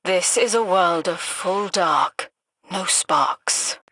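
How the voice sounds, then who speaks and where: A woman voicing a cryptic emissary of cosmic powers in a video game, speaking in a measured, formal, oracular way. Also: lowish voice, pushed and bright, no room sound, full character voice, poor audio. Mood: ominous, detached. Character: cryptic otherworldly female emissary of cosmic powers, coolly imperious. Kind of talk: dramatic video game dialogue.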